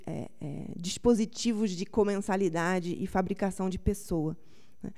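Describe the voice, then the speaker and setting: A woman researcher introducing a speaker at an academic panel, measured and filling pauses with short hesitations. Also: medium pitch, creaky, warm tone. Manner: measured, with frequent fillers.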